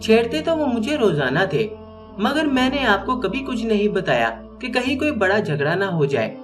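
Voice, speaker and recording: A man's voice: sits high, very animated, normal speed, over music, pitched above the performer's own voice. A man voicing a frightened young woman student, sobbing and pleading as she speaks. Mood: tearful.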